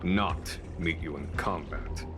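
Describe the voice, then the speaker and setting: An actor playing a composed, wry general in a fantasy video game, speaking in a measured, formal and gently amused way. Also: male voice, a bass voice, background noise. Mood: calm, resolute. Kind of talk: video game dramatic acting. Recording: game dialogue over music.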